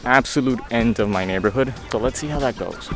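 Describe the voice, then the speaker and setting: A man's voice: mid-range voice, a little fast, a bit bright. A man in his twenties chatting casually as a vlog host out on a street. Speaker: vlog host reviewing gear. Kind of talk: casual chat. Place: outdoors on a suburban street. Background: background music.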